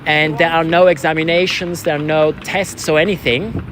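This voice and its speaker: Swiss guy vlogging on the street, voice a bit high, english is his second language, some traffic noise. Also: flat delivery, moderate pace, a bit bright. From Switzerland. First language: not English, learned English after moving to England. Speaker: vlogger, protester. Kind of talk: casual chat. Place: outdoors on a city street at a protest.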